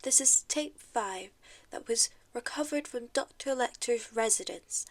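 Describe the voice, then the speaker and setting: A young woman reading formal, deliberate scripted narration for an audio drama, like an official report. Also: a little high.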